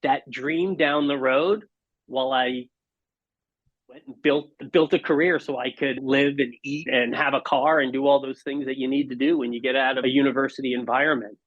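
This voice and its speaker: Male interview guest, earnest and reflective, mid-pitched, on a dull, dry recording. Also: steady tone, moderate pace, no room sound. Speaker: podcast guest, marketing executive.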